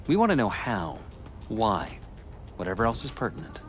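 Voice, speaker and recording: A man playing a courteous, persuasive middle-aged politician in a video game, speaking in a formal, measured way. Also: lowish voice, wildly swooping pitch, over music. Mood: earnest, insistent. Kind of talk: cinematic video game acting.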